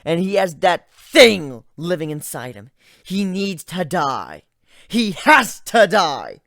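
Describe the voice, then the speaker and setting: A reader voicing a traumatized, frightened and angry griffin in a dramatic story reading, halting and overwrought. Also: mid-range voice, big pitch swings, no echo, studio quality, full character voice. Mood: anguished, vehement. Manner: overwrought, halting, swinging from hushed to shouting. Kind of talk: dramatic reading of fan fiction.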